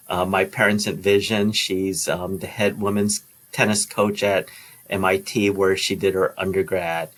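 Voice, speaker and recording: A middle-aged man and school principal giving a reflective personal talk, pausing often with ums and restarts. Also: on the low side, a bit bright. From Los Angeles, USA. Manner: halting, many ums and restarts.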